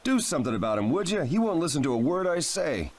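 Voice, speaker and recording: A man voicing a stern, measured adult ninja captain in a game cutscene, firm and scolding. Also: on the high side, big pitch swings. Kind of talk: game cutscene English dub.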